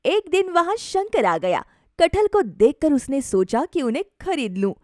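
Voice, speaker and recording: A woman narrator reading a scripted animated moral story. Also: sits high, measured pace, dry recording, clean studio sound.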